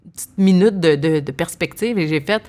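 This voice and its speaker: A woman in her thirties with a Québécois accent, chatting as a podcast guest and telling animated stories with many restarts. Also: lowish voice, brisk. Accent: Québécois French. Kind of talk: casual podcast chat.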